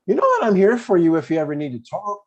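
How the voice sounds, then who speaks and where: An older man acts out a blunt, easily annoyed dad who cares awkwardly. His voice is husky and plainspoken, on a dull lo-fi recording, in a casual comedy read. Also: high-pitched, wildly swooping pitch, fast talker, heavily acted. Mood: defensive. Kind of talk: informal comedy table read.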